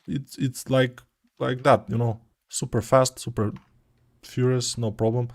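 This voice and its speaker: A man's voice: medium pitch, flat delivery, a little slow. A man with a Bulgarian accent teaching 3D modeling on a live stream, chatting casually and answering viewer questions with many restarts and fillers. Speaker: 3D art instructor.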